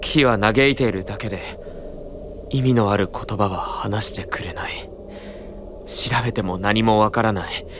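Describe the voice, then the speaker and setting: A man playing an earnest, troubled young knight of a secluded kingdom in a Japanese fantasy voice drama, soft-spoken, measured and sincere. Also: fairly low pitch, steady tone, background noise, lightly acted. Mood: despairing.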